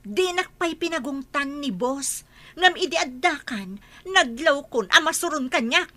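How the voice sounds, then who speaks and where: A woman playing a spiteful, scheming nanny in an Ilocano-accented radio drama, with a sharp, confrontational manner. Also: high-pitched, animated, measured pace, no echo, heavily acted. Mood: angry, jealous.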